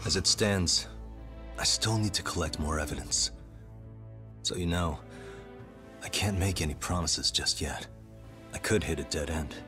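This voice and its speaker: An actor playing a calm, determined private detective in a video game dub, speaking in a measured way. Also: male voice, deep voice. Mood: resolute. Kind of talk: English game dub dialogue. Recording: music behind the voice.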